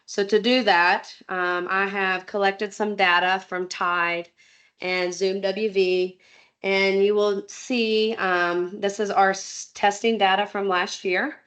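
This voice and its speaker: A woman's voice: medium pitch, little pitch movement, slow pace, fairly bright tone, clear, resonant tone. A woman presenting a school report at a board meeting, reading through names and lists steadily with frequent ums. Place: public meeting room.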